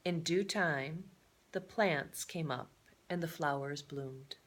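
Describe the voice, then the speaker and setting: A woman's voice: sits low, slow pace. A woman reading a children's story aloud in a theatrical way, adding chatty, playful asides. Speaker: storybook reader.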